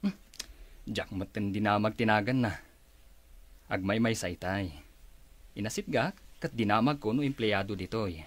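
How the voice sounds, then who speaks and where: Teasing big brother, played by a man: low, husky voice, Ilocano accent, radio drama. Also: moderate pace, pauses often, no echo, close to an everyday voice. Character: teasing, curious older brother.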